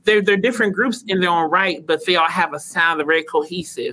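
A man with a naturally high and very bright voice, talking as a vocal coach on a chat show. His manner is loose and rambling, full of "you know" and restarts. He sounds easygoing and conversational. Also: fairly even intonation, quick pace. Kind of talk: casual interview chat.

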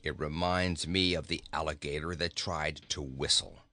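A man voicing a surly talking goat who grumbles with sarcastic, deadpan scorn in a storybook reading. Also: rumbling low, moderate pace, no room sound, pitched below the performer's own voice. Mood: surly, grumbling. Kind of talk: storybook character voice.

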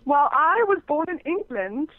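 A woman's voice: high-pitched, sing-song, unhurried, dry recording. A woman from Africa, a guest chatting frankly and casually about her work, with many restarts. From South Africa. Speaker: guest, phone sex operator. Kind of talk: casual interview chat. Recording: dull muffled phone-like sound.

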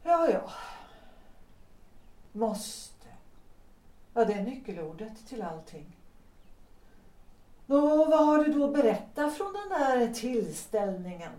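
Picture an actress voicing an intense, pleading married woman in a Swedish audio drama. Her tone is mellow and dark, and she sounds set back from the mic in a roomy space. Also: on the high side, big pitch swings, long pauses, distant mic. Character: unhappily married woman pleading with young lover.

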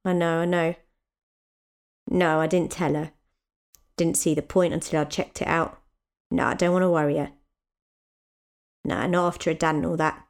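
A woman's voice: sits low, flat delivery, normal speed, gravelly, long pauses. A woman playing a busy mother of four in an audio drama, wry, patient and dry in her delivery. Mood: chatty, confiding. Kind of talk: naturalistic audio drama dialogue.

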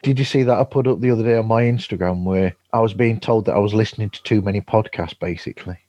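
A man chatting casually as a podcast host, halting and rambling, often chuckling. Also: on the low side, a little mellow. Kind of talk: casual remote chat. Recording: dull, roomy remote call audio.

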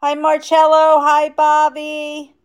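A woman's voice: high voice, completely flat delivery. A woman with a New Jersey accent co-hosting a live podcast, casually reading out and answering listener comments. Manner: unhurried, reads out listener comments. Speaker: podcast co-host.

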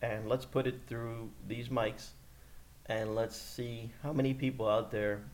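A man's voice: medium pitch, steady tone, a little slow. A man co-hosting a casual podcast chat, easygoing and joking. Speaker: podcast co-host.

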